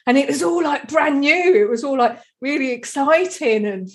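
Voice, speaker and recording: A woman's voice: high-pitched, expressive, normal speed. A middle-aged woman from Kent with a southern English accent, chatting casually and reminiscing in a rambling, easily amused way. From Kent, England.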